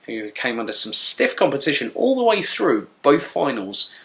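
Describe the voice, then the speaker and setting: Male presenter, mid-pitched, bright and forceful, cheerful news-update delivery. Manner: upbeat, frequent "uh" fillers, congratulatory. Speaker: series speaker and presenter.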